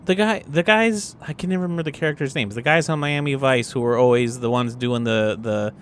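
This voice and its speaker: A man's voice: mid-range voice, fairly quick, a bit bright, slight background noise. A man chatting casually and improvising as a player at a tabletop role-playing game, sometimes swearing.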